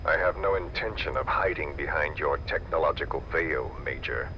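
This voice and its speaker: An actor playing a scarred, coldly polite middle-aged villain in a video game cutscene, taunting and slow. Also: male voice, a bass voice, rough recording. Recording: game mix with loud background noise.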